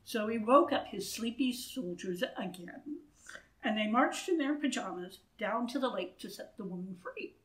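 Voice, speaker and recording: A woman in her sixties reads a children's storybook aloud. Her voice sits fairly high, and she phrases things deliberately and expressively, recorded indoors a few feet from the camera. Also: lively intonation, fairly bright tone, low-quality recording. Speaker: storybook reader. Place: wood-panelled church room, indoors. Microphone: camera a few feet away.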